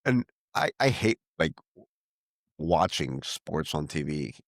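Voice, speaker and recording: Very deep-voiced man, Venezuelan-tinged accent, dry sound. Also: sing-song, takes it slowly, no echo. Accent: Venezuelan Spanish-tinged American English. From United States. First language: Spanish. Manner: rambling storyteller, many restarts and quoted dialogue. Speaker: podcast guest. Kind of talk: casual conversation.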